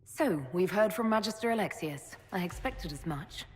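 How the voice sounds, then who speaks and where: An actress playing a guarded, level-headed heroine in a video game, direct and questioning in manner. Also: female voice, lowish voice, animated, moderate pace, slight background noise, lightly acted. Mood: composed. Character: composed, guarded heroine leading an inquisition. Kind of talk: video game character acting. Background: music.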